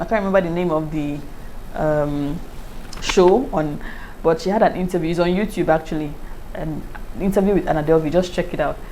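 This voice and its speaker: A woman with a Nigerian accent, chatting casually to her viewers in a lively way and often breaking into laughter. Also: lowish voice, slight background noise, low-quality recording. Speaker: YouTuber. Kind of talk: casual review chat to camera.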